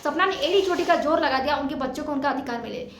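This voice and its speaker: A woman's voice: high-pitched, fast talker, a little hiss or hum. A woman giving informal opinion commentary to viewers in an emphatic, indignant way, often posing rhetorical questions. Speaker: vlogger commenting on a family dispute. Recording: echoey untreated room sound.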